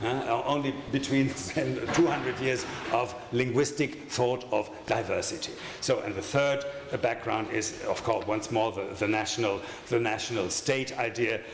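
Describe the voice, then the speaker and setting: Older man with a German accent, academic conference lecturer, halting with fillers, roomy hall, faint room noise. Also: mid-range voice, steady tone. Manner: halting, many fillers and restarts, wry asides. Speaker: conference speaker. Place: lecture hall with projection screen. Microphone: desk microphone at a lectern.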